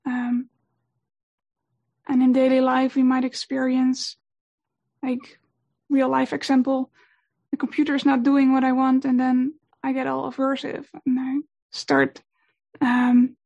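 A woman whose first language is Dutch gives a gentle, unhurried talk on meditation, pausing often with fillers. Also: sits high, monotone, vocal fry, muffled recording. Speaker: meditation teacher.

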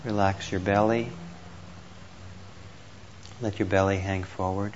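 A man teaching meditation, gently and unhurriedly guiding a group with pauses between instructions. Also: low voice, little pitch movement. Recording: dull lo-fi audio with clear background noise.

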